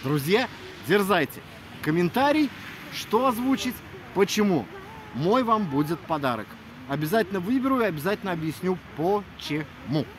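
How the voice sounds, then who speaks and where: A man's voice: high voice, sing-song, normal speed. A man in his thirties, an audiobook narrator, talks casually and earnestly to his followers in an emphatic manner. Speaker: audiobook narrator addressing listeners. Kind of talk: casual video greeting to followers. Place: outdoors in a snowy city square at night. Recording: noisy outdoor city square, traffic ambience.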